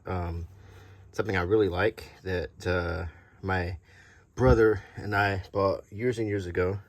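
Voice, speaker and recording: A middle-aged man giving a casual show-and-tell as a hobby collector, talking softly in an unhurried, halting way. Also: deep voice, steady tone, dry recording. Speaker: hobby collector presenting a video.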